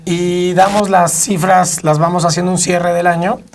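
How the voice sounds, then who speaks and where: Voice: medium pitch, little pitch movement, normal speed, a little hiss or hum. A co-host informally introducing a studio interview.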